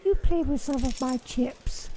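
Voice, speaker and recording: A woman's voice: sits high, a little slow, warm tone. A woman playing a coy, mysterious charmer. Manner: coy and flirtatious, often breathy. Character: charming mysterious adult woman who gambles. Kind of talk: amateur radio play acting.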